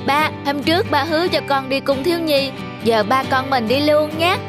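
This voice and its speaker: An actress voicing a spoiled, stubborn little boy in an animated drama, with a whiny, defensive manner. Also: sits high, normal speed, loud music behind the voice, lightly acted. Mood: sulky.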